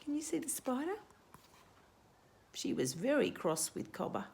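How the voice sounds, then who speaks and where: A woman's voice: sits high, very animated, unhurried, gravelly, darkish tone, a little hiss or hum. A woman hosting a children's storytime, reading a picture book and explaining it simply with questions for young listeners. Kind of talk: storybook read-aloud with asides to young children.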